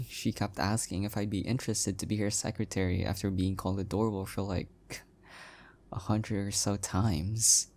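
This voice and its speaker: A man playing an adorkable, self-deprecating young husband in an intimate one-sided audio roleplay, speaking softly and hesitantly with affection. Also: lowish voice, little pitch movement, normal speed. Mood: oblivious, fond.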